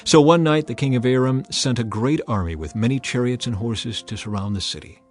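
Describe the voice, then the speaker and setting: A man's voice: medium pitch, fairly quick. Earnest male scripture narrator. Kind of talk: dramatized scripture reading. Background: faint background music.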